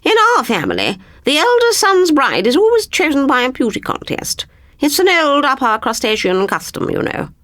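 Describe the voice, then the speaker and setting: A woman's voice: high-pitched, wildly swooping pitch, moderate pace, a bit bright, dry recording, studio quality, pitched above the performer's own voice, heavily acted. A woman voicing a haughty, snobbish upper-class storybook queen, condescending and dismissive.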